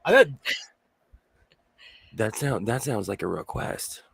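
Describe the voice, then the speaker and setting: A man in his forties hosting a casual sewing livestream, hoarse and losing his voice, joking and laughing often as he reads the chat. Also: fairly low pitch, very animated, slow pace, fairly bright tone, dry recording, studio quality.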